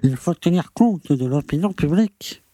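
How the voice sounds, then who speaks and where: A man's voice: fairly high pitch, lively intonation, moderate pace, dark tone, no room sound. A man playing a pompous, condescending and evasive small-town mayor in an audio drama. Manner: pompous, patronizing.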